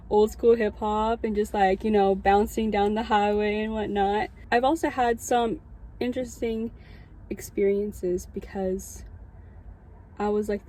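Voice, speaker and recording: Mellow young Californian woman telling her story. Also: mid-range voice, poor audio. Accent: Californian American. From Southern California, United States. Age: early twenties. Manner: informal, conversational, frequent "like" asides. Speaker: student chaplain giving a testimony. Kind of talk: casual personal storytelling to an audience.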